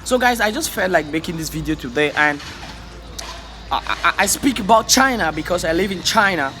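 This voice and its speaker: A man's voice: high-pitched, lively intonation, normal speed, bright, forceful tone, faint music behind. A man from Africa giving an impassioned motivational vlog monologue, repeating himself and stumbling over words when heated. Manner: fervent, repetitive, exhorting, stammers when heated. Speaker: vlogger.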